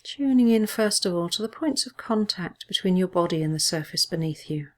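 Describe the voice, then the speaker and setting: A woman with a fairly low voice guides a body scan meditation. She speaks as a mindfulness teacher, giving gentle instructions in measured phrases. Pauses between them keep the delivery soothing and unhurried. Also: expressive.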